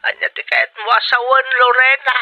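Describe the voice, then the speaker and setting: A man's voice: extremely high pitch, level tone, fairly quick, faint music behind. An actor in an Ilocano audio drama playing a concerned older father, heard through a telephone filter. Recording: voice through a phone line.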